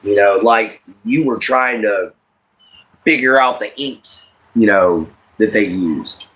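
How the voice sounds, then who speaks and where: A man's voice: fairly low pitch, takes it slowly, a bit bright, rough recording. An American man in his late thirties hosting a casual livestream, giving rambling, repetitive opinions and addressing listeners directly. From United States. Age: late 30s. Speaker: livestream host.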